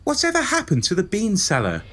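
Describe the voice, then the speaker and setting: A man's voice: sits high, wildly swooping pitch, a little fast, very noisy. A man playing a cheery, eager young would-be radio DJ in an audio pantomime. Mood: hopeful.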